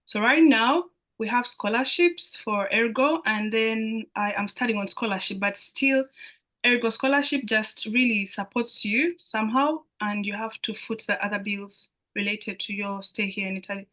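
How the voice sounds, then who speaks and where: A woman's voice: a little high, moderate pace, pushed and bright. Woman from Uganda on a video call. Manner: hesitant, many fillers and restarts. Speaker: guest student. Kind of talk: live online Q&A.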